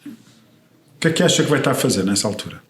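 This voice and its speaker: A man's voice: medium pitch, expressive, quick pace, reverberant, slight background noise. A man hosting a podcast interview, asking questions and encouraging his guest with short affirmations. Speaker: podcast host.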